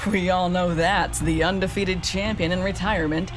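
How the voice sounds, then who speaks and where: An actor playing a knowing, showy arena fight co-commentator in a radio drama. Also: male voice, sits high, steady tone, normal speed, music in the background, natural voice.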